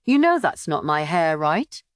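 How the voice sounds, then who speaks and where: A woman's voice: low-pitched, very animated, a little slow, clear, resonant tone, no echo, clean studio sound. A woman voicing a blunt young female apothecary, guarded and stubborn, speaking in a terse, matter-of-fact way for an audiobook. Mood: quietly earnest.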